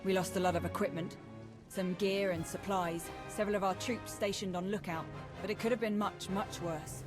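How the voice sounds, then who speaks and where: Woman acting a female officer, middle pitch, dutiful, plain-spoken, video game drama, clear music behind. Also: steady tone, normal speed, close to an everyday voice. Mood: dutiful, friendly. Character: loyal, plain-spoken military major reporting to superiors.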